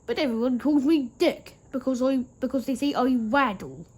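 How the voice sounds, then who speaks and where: A woman's voice: high voice, moderate pace, a little mellow, husky. A woman voicing a polite, proud, plucky male tank engine in a children's storybook, speaking earnestly.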